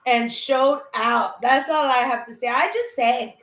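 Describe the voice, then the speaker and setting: A woman's voice: sits high, a little slow, a bit bright. A woman singer chatting as a guest, telling stories with lots of laughter. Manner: laughing, bubbly storyteller. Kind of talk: casual interview chat.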